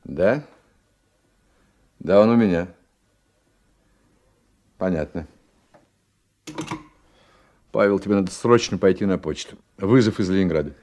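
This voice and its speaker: An actor playing a middle-aged naval officer, a gruffly kind mentor, in a radio play. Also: male voice, low voice, unhurried, pauses often, a little mellow. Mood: kindly.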